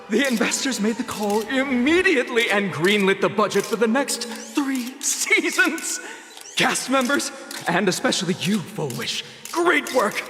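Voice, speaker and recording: A man's voice: extremely high pitch, very animated, a little slow, pushed and bright, soft background music, full character voice. A man voicing a gleeful, callous TV director in a game, theatrical and bombastic. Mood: smug, showy. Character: gleeful, callous showy male TV show director. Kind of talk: dramatic game voice acting. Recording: big echoey reverberant space.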